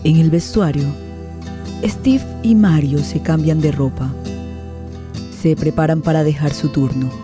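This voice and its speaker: A woman's voice: low voice, unhurried, long pauses. A woman giving a dramatic scripted narration of a radio play. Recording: soft guitar music under voice.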